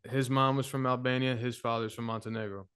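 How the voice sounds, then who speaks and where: Relaxed male guest, candid storyteller, smooth voice. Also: medium pitch, a little fast. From United States. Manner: laid-back, candid, even delivery. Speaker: guest musician. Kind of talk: casual podcast interview.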